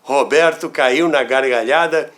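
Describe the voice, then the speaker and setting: An older man with a Brazilian accent tells anecdotes in a theatrical, deliberate way, with dramatic pauses and asides to the listener. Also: medium pitch, fairly bright tone. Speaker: storyteller, former radio worker. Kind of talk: animated anecdotal storytelling podcast narration.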